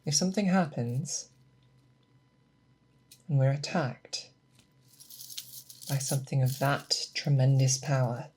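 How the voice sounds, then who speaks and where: A woman playing a seasoned, grave and protective female monster hunter in a slow, hushed, breathy ASMR roleplay, close to the microphone. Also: very deep voice, full character voice. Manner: slow, deliberate, hushed, with pauses. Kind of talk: ASMR roleplay, close soft speech and whispers. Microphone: close binaural microphone.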